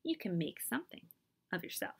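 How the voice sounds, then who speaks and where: An eager storybook mentor, a man voiced by a woman reader, urges with earnest emphasis. The pitch swings in a lively way. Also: fairly quick, gravelly, husky, heavily acted. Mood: earnest urging. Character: eager, encouraging man, street-wise tennis mentor.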